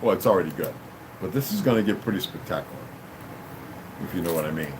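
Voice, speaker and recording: A man's voice: sits low, fast. A man hosting a livestream at home, chatting casually and rambling, thanking donors and often asking "you know what I mean". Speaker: livestream host. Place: room at home. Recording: steady air-conditioner hum behind.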